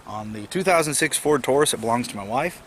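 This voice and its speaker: A man's voice: mid-range voice, expressive, quick pace, a bit bright, a little hiss or hum. A man with a slight head cold, explaining a car repair step by step in a plainspoken, patient way with frequent fillers. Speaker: DIY car repair presenter. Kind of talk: casual how-to walkthrough.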